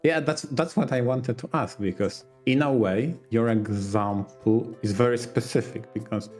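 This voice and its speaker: A man co-hosting a podcast, reasoning through points casually in deliberate, halting phrases. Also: mid-range voice, darkish tone, faint music behind. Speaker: podcast co-host. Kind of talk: casual analytical podcast discussion.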